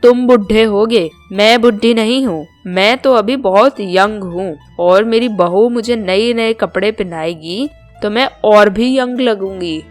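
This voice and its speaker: A woman's voice: medium pitch, a little slow, soft background music, lightly acted. A woman voicing an older small-town mother-in-law, chatty, proud and eager to seem modern, in storybook dialogue. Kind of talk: Hindi storybook cartoon dialogue.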